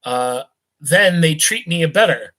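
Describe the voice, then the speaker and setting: A man's voice: a little high, expressive, measured pace, pushed and bright. A man voicing a drunk, rich young man of about eighteen, slurring and rambling in a thick voice. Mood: drunken rambling. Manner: slurring and hiccuping, lurching tipsy chatter.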